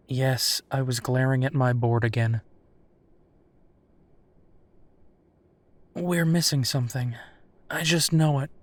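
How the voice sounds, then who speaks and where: Man acting as unhurried, protective male werewolf detective. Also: medium pitch, no room sound, close to an everyday voice. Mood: brooding, fond. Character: male werewolf private investigator, protective, teasing, dogged, affectionate. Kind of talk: roleplay audio drama, intimate one-sided dialogue.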